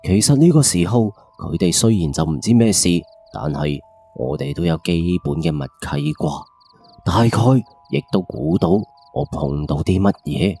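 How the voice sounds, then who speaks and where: A man's voice: low voice, expressive, normal speed, creaky, dark tone, breathy edge, faint music behind. A man with a Cantonese accent narrating a first-person ghost story in a colloquial, suspenseful late-night radio style.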